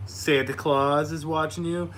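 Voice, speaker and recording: A young man with a slightly raspy voice that is starting to give out, chatting casually and frankly to viewers of his live Q&A, swearing freely as he rambles through stories. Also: a little high, steady tone, unhurried, a bit bright. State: losing his voice after being sick. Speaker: host of a live Q&A stream. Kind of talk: casual chat to online viewers. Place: a room upstairs at home. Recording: clearly audible background noise.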